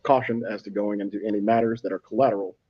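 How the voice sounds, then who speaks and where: A man serving as judge, issuing formal rulings in a measured way in a courtroom. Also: fairly low pitch, steady tone, breathy edge. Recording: muffled courtroom broadcast audio.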